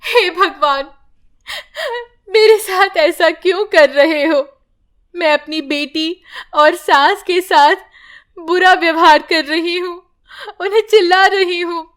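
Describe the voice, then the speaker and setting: A woman doing a sobbing young mother, high and slow, pleading. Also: fairly bright tone, exaggerated voice. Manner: scolding, then sobbing prayer. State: crying. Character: grieving young mother, harsh on the surface.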